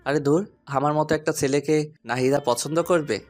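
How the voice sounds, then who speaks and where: A man's voice: on the high side, steady tone, moderate pace, natural voice. A man playing a shy, lovesick young college student who narrates his own romantic audio story. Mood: hesitant, dejected. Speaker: narrator and lead actor. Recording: music behind the voice. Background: background music.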